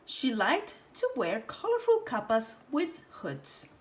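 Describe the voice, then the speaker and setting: A woman reads a children's picture book aloud with theatrical expression. The voice is high and lively, taken at an unhurried pace, with noticeable noise behind it. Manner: animated, theatrical. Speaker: storyteller.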